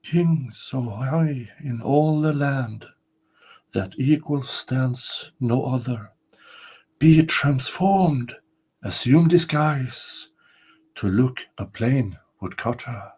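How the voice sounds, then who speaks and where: A man voicing a calm, solemn female spirit from a storybook folktale, speaking in a measured way. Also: mid-range voice, husky, pitched above the performer's own voice. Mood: chanting.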